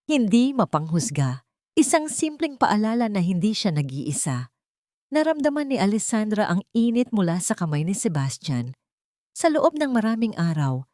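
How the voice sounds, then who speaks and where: Female storyteller, lowish voice, dry sound, measured dramatic delivery of scripted romance narration. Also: a little mellow, dry recording.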